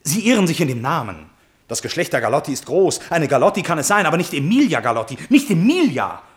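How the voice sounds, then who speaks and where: A slightly rough-voiced, lovesick prince in a classical radio drama. He is imperious and impulsive, his pitch swinging widely as he moves between emphatic outburst and brooding. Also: very animated, fast talker. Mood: agitated disbelief. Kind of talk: classical German stage drama, radio play acting.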